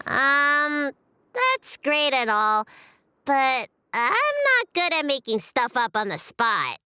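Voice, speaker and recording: A woman voicing a timid, polite young girl in an anime-style video game, gentle and unsure. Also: sits high, huge pitch swings, slow, no echo, heavily acted. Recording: music behind the voice.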